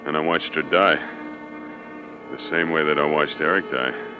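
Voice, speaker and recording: A man's voice: extremely low pitch, fairly even intonation, over music. Guilty, unravelling man, muffled radio. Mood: drained, confessing. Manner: halting, stammering. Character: frightened, guilty man who unravels under threat. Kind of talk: 1940s radio suspense acting. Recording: dull, band-limited vintage radio recording.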